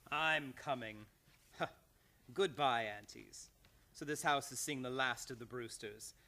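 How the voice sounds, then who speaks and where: Man playing a forceful, sinister, sardonic villain. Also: medium pitch, unhurried, gravelly. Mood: bitter, gloating. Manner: cold, sardonic. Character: sinister, bitter, menacing villain, a grown nephew. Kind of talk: old-time radio acting.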